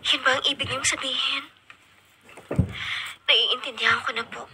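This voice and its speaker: A woman's voice: sits high, steady tone, unhurried, background noise. A woman with a Filipino accent playing an emotional young student mother, polite and full of longing, in a radio drama. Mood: meek, resigned.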